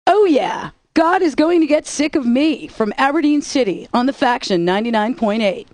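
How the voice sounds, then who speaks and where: A woman's voice: high-pitched, animated, moderate pace, a little mellow, dry recording. A woman radio DJ with sassy, playful patter between songs.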